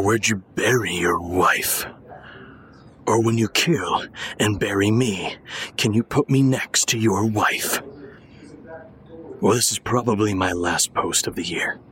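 Man acting as a slow, sardonic, bright-voiced podcast host. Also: lowish voice. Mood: mocking. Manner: drawling, deadpan sarcasm. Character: sardonic young man hosting a mocking homemade podcast. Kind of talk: mock podcast monologue.

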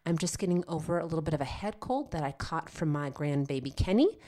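A woman's voice: low voice, lively intonation, quick pace, mellow. An older woman hosting a health podcast, slightly nasal from a head cold, chatty and encouraging, often checking in with "okay?". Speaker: podcast host, nurse practitioner. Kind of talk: solo health podcast talk.

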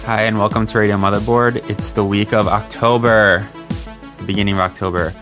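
A man's voice: fairly low pitch, fairly even intonation, fairly quick, music in the background. A man hosting a podcast, chatting casually and jokingly with many fillers. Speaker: podcast host. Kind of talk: casual group chat.

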